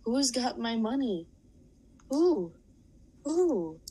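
A man voicing the ghost of a dead woman who wails with drawn-out, moaning cries in a read-aloud story. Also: very high voice, very animated, mellow, breathy edge, voice pushed up, exaggerated voice. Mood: wailing.

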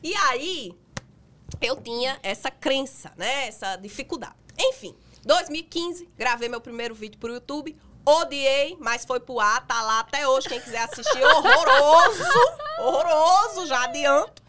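A woman in her thirties with a Northeastern Brazilian accent, slightly hoarse today, telling her story as a guest in a podcast interview. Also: sits high, measured pace, a little hiss or hum. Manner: lively, chatty storytelling with laughter. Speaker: guest, makeup artist.

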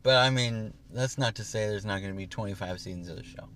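A man's voice: fairly low pitch, fast, fairly bright tone, a little hiss or hum. A young man co-hosting a podcast, chatting casually from a parked car and rambling with lots of fillers. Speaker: podcast co-host. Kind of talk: casual chat.

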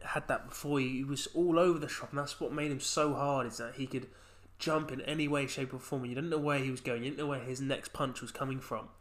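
A man's voice: medium pitch, steady tone, quick pace, few pauses. A young man hosting a casual podcast chat while he has a cold, talking in a chatty way. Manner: chatty, reads out quotes in a punchy voice. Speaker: podcast host.

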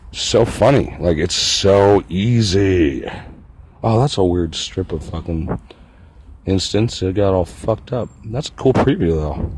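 Husky, deep-voiced rambling vlogger man. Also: darkish tone. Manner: meandering, profane, self-mocking rambling. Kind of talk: rambling casual monologue.

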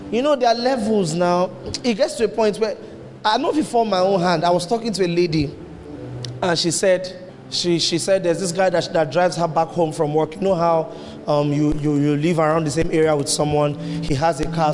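A man preaching a sermon as pastor, emphatic, his voice jumping up into high exclamations. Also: moderate pace, darkish tone. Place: church hall. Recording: long hall reverb, faint noise.

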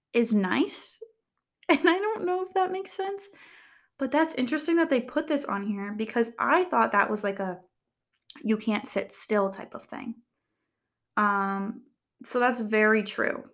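A young woman talks through a quiz in a soft, calm voice, reading each question and then rambling about her answer. Her speech is loose and casual, full of fillers, and she jokes at her own expense. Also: on the high side. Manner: chatty, rambling, filler-heavy, self-deprecating humor. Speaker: vlogger.

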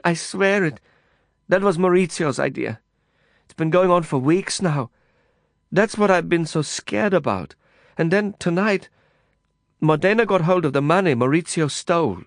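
A woman's voice: lowish voice, dry recording, clean studio sound, pitched above the performer's own voice. A man voicing a distraught young woman who pleads desperately through tears, repeating herself.

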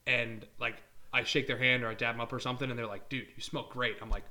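A young man chatting on a podcast in a quick, rambling way. His voice is bright and forward, and he keeps joking at his own expense. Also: mid-range voice, steady tone. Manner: joking, rambling, laughs at himself. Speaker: podcast co-host. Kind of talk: casual chat.

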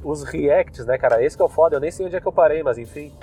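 A man's voice: fairly high pitch, quick pace, warm tone, slightly rough. A young man from southern Brazil with a Gaúcho accent, a podcast host rambling casually with loose slangy talk and many fillers while sitting in his car. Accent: Gaúcho Brazilian Portuguese. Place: inside a car.

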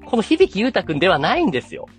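Highly animated male podcast host over music. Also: quick pace. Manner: animated, stretches key phrases for suspense. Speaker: podcast host explaining an anime. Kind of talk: casual podcast chat, storytelling explanation.

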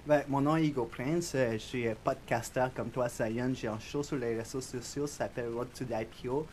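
A young man with a Spanish accent, a guest on a podcast interview, talking in a soft, reflective, shy way. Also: mid-range voice, steady tone, brisk, a little hiss or hum. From Spanish-speaking country. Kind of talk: casual interview chat.

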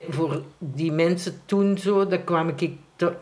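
Halting Flemish woman telling an anecdote. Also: lowish voice, darkish tone. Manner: halting, repetitive, self-deprecating. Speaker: visual artist being interviewed. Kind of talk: casual anecdotal chat.

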